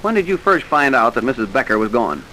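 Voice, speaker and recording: A man's voice: mid-range voice, very animated, fast talker, pushed and bright, poor audio. A man with an American accent playing a stern, probing police detective in a vintage radio crime drama. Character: brisk, hard-nosed male police detective. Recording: hissy old radio recording.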